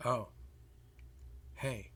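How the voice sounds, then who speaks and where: A man playing a weary, jealous math teacher and best friend in a roleplay monologue, halting and muttering with a breathy voice. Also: pushed and bright, a little hiss or hum. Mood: hurt, upset. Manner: halting, muttering, frequent sighs. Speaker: audio roleplay performer. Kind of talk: slow roleplay monologue to the listener.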